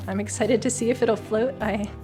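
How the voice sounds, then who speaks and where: A woman's voice: a little high, steady tone, normal speed, dark tone, faint music behind. A young woman student speaking enthusiastically with a few fillers in an interview. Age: young adult.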